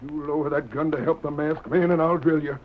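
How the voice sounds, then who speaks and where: An actor playing a bitter, threatening outlaw in an old-time radio western, with rustic American speech and a gravelly voice. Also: male voice, on the high side, steady tone, brisk, slight background noise, close to an everyday voice. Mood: furious, urging. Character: hot-tempered, vengeful Western outlaw, man, scheming and bitter. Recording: dull, muffled old radio recording.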